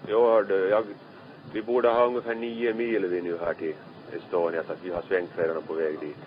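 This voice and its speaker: A man's voice: deep voice, flat delivery. A man working as a ship's radio operator, brief and matter-of-fact in radio procedure. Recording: muffled, noisy archive marine radio.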